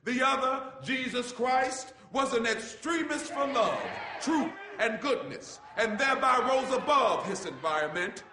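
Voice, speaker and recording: A man delivering impassioned preaching-style oratory, emphatic and dramatic, with drawn-out phrases and interjections, in a roomy recording. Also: very high voice, animated. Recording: roomy, echoing, noisy recording.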